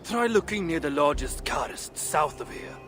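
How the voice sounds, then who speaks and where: A man's voice: on the high side, expressive, unhurried, fairly bright tone, soft background music. A man playing a proud, boastful dwarf craftsman in a video game cutscene, blunt and self-important. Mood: confident, boastful.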